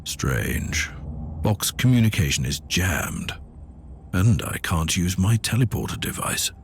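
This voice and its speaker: A man playing a grave, devout inquisitor psyker in a video game, speaking in a solemn, formal, measured way as if dictating a log. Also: sits low, big pitch swings, background noise, exaggerated voice. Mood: concerned, puzzled. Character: grave, devout male inquisitor psyker investigating a derelict fortress. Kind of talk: scripted game dialogue and audio log narration.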